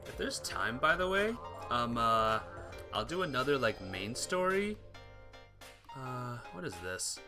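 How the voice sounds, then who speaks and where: A man's voice: mid-range voice, sing-song, measured pace. A man playing a visual novel on stream, commenting casually and reading the game's text aloud, sometimes stumbling and correcting himself. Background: game music.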